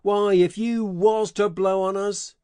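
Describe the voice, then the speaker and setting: A man playing a scheming adult con man, speaking in a gruff, folksy, bullying way in an audiobook dramatization. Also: high voice, steady tone, takes it slowly, darkish tone, no room sound, studio quality. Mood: threatening.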